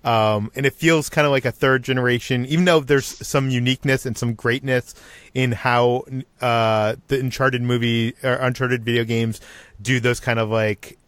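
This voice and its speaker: Mid-pitched male podcast host, dry sound. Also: fairly even intonation, moderate pace, a bit bright, no room sound. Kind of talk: casual panel discussion.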